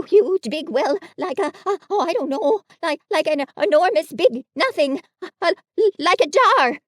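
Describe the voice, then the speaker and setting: Brief: woman voicing a tiny nervous piglet, stammering and panicky, very high and squeaky, storybook reading. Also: no room sound, clean studio sound. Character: timid anxious small male piglet.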